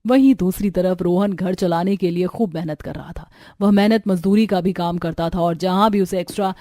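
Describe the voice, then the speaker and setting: A woman's voice: on the low side, normal speed, dark tone, no echo, studio quality. A woman reading scripted story narration as the narrator.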